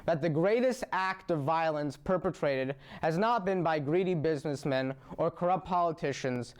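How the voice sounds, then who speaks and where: A young man reading his opinion essay as a student commentator, in an earnest, measured, deliberate way, recorded as a close voice-over. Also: fairly high pitch.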